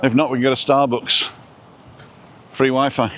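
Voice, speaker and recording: A man's voice: mid-range voice, flat delivery, moderate pace, a bit bright, a little hiss or hum. A man from Wales chatting casually on a walk and reading viewer comments aloud, recorded outdoors on a phone. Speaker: live-stream host. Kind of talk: casual chat while walking.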